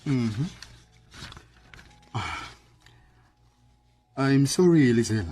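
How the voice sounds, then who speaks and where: A man's voice: mid-range voice, expressive, extremely slow, a little mellow, slight background noise. A man acting a grave, sympathetic doctor in a radio drama. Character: grave, sympathetic male doctor breaking bad news.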